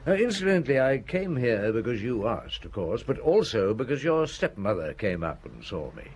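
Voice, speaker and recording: An actor in a radio drama playing a weary, dry, measured police chief inspector who questions and narrates with deliberate pauses. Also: male voice, medium pitch, very animated, darkish tone, husky. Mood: measured questioning. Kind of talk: radio drama acting with first-person narration.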